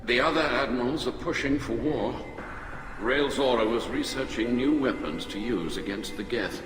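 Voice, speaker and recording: A man playing a grave, principled and proud alien admiral in a video game, speaking in formal, measured oratory. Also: mid-range voice, lightly acted. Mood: grave, earnest. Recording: long hall reverb, loud music behind. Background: loud game music.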